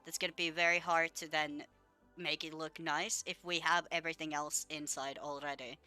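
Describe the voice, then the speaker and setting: A young woman with a bright, crisp voice that sits on the low side, chatting loosely through a game build. She is a little under the weather and rambles along with plenty of fillers and the odd swear. Soft music plays quietly underneath. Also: flat delivery, normal speed. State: a little sick. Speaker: gaming video creator. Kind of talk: casual gameplay commentary.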